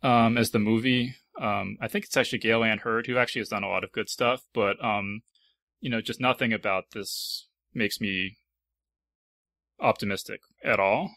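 Male panel host: crisp bright edge, lots of fry, halting thoughtful delivery. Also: on the low side, fairly even intonation, no room sound. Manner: hesitant, thoughtful, repeating words. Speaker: podcast host. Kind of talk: casual panel discussion.

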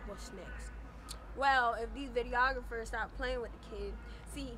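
A young woman rapper in her twenties, talking casually and soft-spoken with laid-back slang, interviewed outdoors beside a parked car. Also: on the high side, a little slow. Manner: soft-spoken, laid-back slang, frequent "you know what I'm saying". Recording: outdoor street noise.

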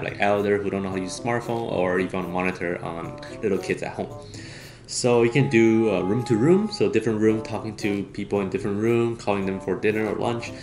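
A man in his thirties doing a casual, unscripted gadget unboxing as a vlogger. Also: lowish voice. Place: small home dining room, indoors. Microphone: camera on the table or at arm's length. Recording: echoey room, music in the background.

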